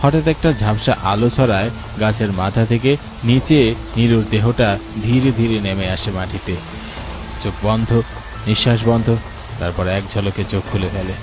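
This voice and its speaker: A man's voice: fairly low pitch, normal speed, long pauses, over music, low-quality recording. A man narrating a scripted horror story as its storyteller.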